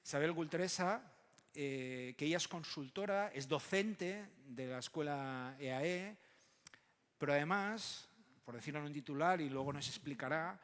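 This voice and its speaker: A man from moderates a conference panel, speaking haltingly and often ending on short tag questions. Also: on the high side, a bit bright. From Barcelona, Spain. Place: large conference room. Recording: echoey hall with long reverb.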